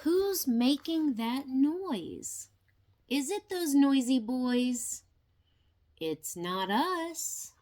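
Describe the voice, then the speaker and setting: An older woman reads a children's storybook aloud. Her voice sits fairly high and swings widely in pitch. She takes her time, very slowly drawing out each question with expressive, deliberate drama. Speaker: grandmother reading a storybook aloud. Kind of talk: children's picture-book read-aloud.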